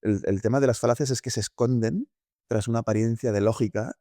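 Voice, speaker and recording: A man's voice: mid-range voice, steady tone, fast, mellow, dry recording, clean studio sound. A man co-hosting a casual podcast, explaining ideas step by step with hesitations and self-corrections. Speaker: podcast co-host.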